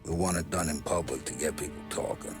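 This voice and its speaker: A man's voice: rumbling low, a little fast, music in the background. A man playing a streetwise, sardonic and assured mafia adviser in a game cutscene. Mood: low, assured. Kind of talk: dramatic video game cutscene acting.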